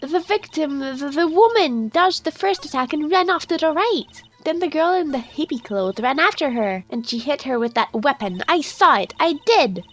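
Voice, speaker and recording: High-pitched, coy, flirty witness. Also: female voice, animated, moderate pace, few pauses. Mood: insistent. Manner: coquettish, stammering when flustered. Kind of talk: visual novel game fandub.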